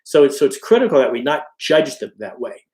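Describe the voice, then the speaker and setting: A man's voice: mid-range voice, big pitch swings, brisk, darkish tone. Middle-aged male instructor, casual lecture delivery, constant check-ins with the class, echoey room. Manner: conversational, frequent "okay?" and "all right?" check-ins. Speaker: college instructor. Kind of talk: informal recorded lecture.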